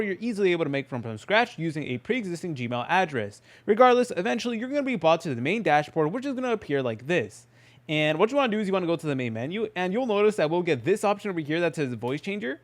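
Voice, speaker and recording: A man with a notably high voice hosts a software walkthrough at a rapid clip. His delivery is informal and chatty, moving step by step like a casual screen-recording tutorial. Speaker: tutorial host.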